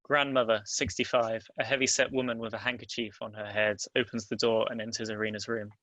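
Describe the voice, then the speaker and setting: A man reads out script directions as narrator. His voice is mid-pitched, bright and forward, recorded dry with almost no room sound. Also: flat delivery, a little fast, no echo, poor audio. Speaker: narrator reading stage directions. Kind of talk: scripted narration at table read.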